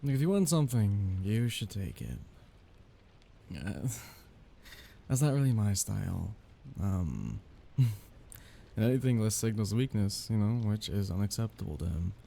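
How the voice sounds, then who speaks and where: A man playing a soft-hearted, nervous young mafia heir in an intimate ASMR romance roleplay, speaking softly, haltingly and apologetically. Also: fairly low pitch, sing-song, creaky, a little hiss or hum, heavily acted. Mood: awkward, reluctant.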